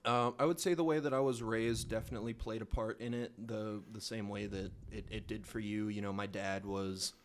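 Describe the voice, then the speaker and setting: A man's voice: fairly low pitch, steady tone, brisk, hardly any pauses, a little hiss or hum. A man co-hosting a casual podcast conversation, thinking aloud with frequent restarts and hedges. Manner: thoughtful, hesitant, with frequent restarts. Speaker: podcast co-host.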